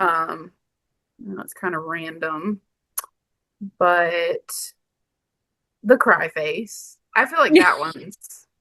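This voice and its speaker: Bright-voiced chatty woman in her thirties. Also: fairly low pitch, very slow, long pauses, no room sound, rough recording. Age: 30s. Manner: chatty, laughs often, trails off. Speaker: podcast guest. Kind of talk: casual interview chat.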